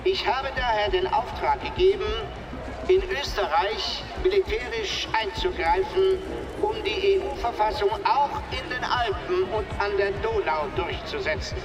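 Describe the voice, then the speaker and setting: An actor playing a chancellor giving a declamatory campaign speech at a rally in a radio drama. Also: male voice, sits high, very animated, unhurried, over music, heavily acted. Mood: rousing. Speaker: chancellor character. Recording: echoey rally from far off with crowd.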